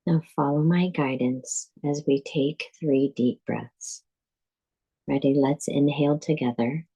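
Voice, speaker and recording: Very slow, husky woman guiding meditation. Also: extremely low pitch, poor audio. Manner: calm, measured, deliberate phrasing with pauses. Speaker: yoga nidra teacher. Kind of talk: guided meditation, scripted instruction.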